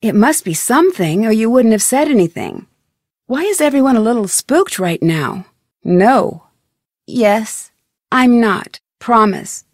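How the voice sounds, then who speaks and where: Young female detective, American, video game dialogue, earnest, curious, fairly high voice, dry studio sound. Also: animated, measured pace, dry recording. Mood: curious, friendly. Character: curious, polite, plucky young woman amateur detective. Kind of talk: video game dialogue, scripted single lines.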